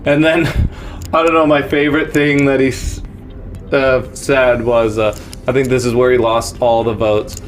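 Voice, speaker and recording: A man's voice: mid-range voice, level tone, measured pace, soft background music. A man from the United States hosting a podcast, chatting casually and jokingly, rambling a little and laughing often. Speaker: podcast host. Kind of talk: casual comedic chat.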